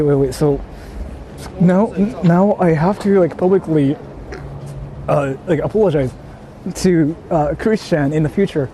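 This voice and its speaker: A man live-streaming in casual chat, rambling excitedly and laughing often on a portable mic. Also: fairly high pitch. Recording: noisy street stream audio.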